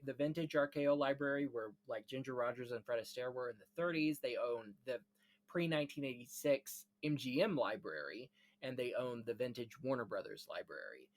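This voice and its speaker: A man hosting a casual film history discussion in an earnest, informative, chatty way. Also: mid-range voice. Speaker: podcast host, film history enthusiast.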